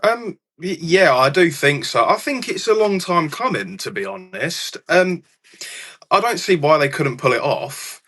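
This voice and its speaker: Bright-voiced male gaming podcast guest. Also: on the high side, sing-song, a little slow, husky. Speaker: panel guest. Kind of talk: casual gaming chat.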